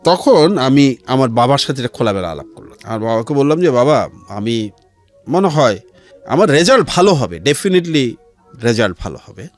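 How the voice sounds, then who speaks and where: A middle-aged man with a Bangladeshi accent telling his life story as an interview guest, in a measured way with pauses and some English words mixed in. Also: medium pitch, sing-song. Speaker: interview guest, civil servant. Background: background music.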